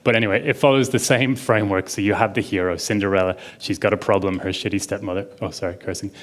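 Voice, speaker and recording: A man speaks on a conference stage to a live audience in a fairly low voice. He talks in a chatty, enthusiastic way, often reaching for "like" as he goes. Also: fairly even intonation, fast talker. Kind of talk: casual conference talk.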